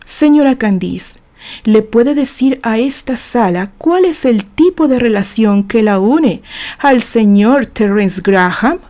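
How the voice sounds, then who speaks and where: A woman's voice: fairly high pitch, expressive, takes it slowly, warm tone. A Mexican woman voicing a hostile female lawyer who questions in a pointed, mock-polite, insinuating way in an audio story. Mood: formal, challenging. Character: hostile female lawyer, sarcastic and probing in court.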